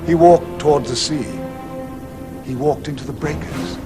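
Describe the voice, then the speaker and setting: A man narrating a story in a deliberate, dramatic, emphatic storybook style. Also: a little high. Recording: loud music under narration. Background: loud music.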